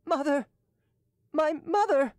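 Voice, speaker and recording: A woman's voice: high voice, very animated, unhurried, dry recording, clean studio sound, voice pushed up, exaggerated voice. A woman reader voicing a young man dying in agony, crying out in pain. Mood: anguished.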